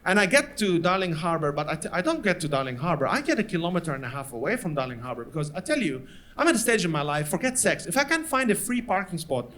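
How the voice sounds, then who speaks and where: A man from Lebanon with a Lebanese accent, doing animated stand-up storytelling on stage and acting out the people in his story. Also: sits high, fast talker. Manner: animated, acts out voices of others in anecdotes. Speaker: stand-up comedian. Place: stage before a live audience.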